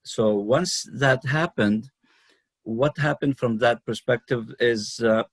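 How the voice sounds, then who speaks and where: A middle-aged man from Lebanon with a Lebanese accent, telling his own story as an interview guest, unscripted and halting, often pausing mid-sentence. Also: mid-range voice, husky, dry recording. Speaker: guest, numerologist and healer.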